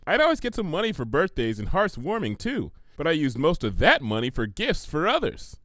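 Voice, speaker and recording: A man's voice: fairly high pitch, huge pitch swings, a little fast, a bit bright, dry recording, studio quality. A man voicing a prim, studious young female unicorn pony with a precise, pedantic, dignified way of talking, in a fan fiction reading. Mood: earnest, explanatory.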